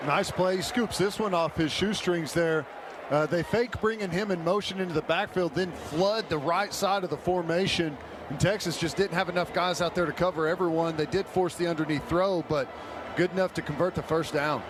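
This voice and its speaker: An American man works as a football radio color commentator, breaking plays down in a conversational way. His voice is clearly high for a man. Stadium crowd and noise are plainly heard behind him. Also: fairly even intonation, normal speed.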